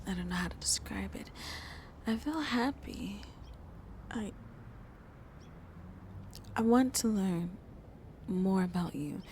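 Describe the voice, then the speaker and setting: A woman playing a shy, lonely vampire in an intimate ASMR roleplay, speaking softly and hesitantly, often half whispering. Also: mid-range voice, a little hiss or hum. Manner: slow, hesitant, halting, soft and breathy. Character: shy, lonely female vampire on a tender first date.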